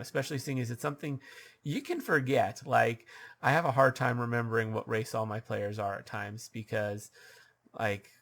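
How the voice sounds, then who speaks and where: A man's voice: medium pitch, creaky, a bit bright. A man giving advice as a dungeon master, talking off the cuff with lots of ums and restarts, his voice a bit scratchy. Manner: halting, many ums and uhs, restarts. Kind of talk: unscripted advice monologue.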